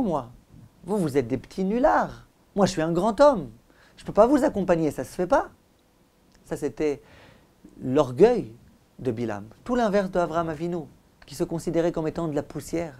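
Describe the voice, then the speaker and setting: A male rabbi delivers a religious class with a storyteller's flair. His pitch sits in a medium range, and he breaks his teaching into deliberate, stressed phrases. He leans on repetition and rhetorical questions to drive each point home. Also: a little mellow. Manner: rhetorical questions, emphatic repetition, acts out dialogue. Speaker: rabbi giving a Torah class.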